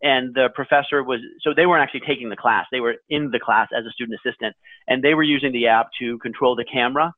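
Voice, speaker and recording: A man co-hosting a podcast in casual chat, with a loud, carrying voice and a rambling way of talking full of restarts. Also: mid-range voice, fairly even intonation, fast talker, no echo. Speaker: podcast co-host. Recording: tinny phone-like line.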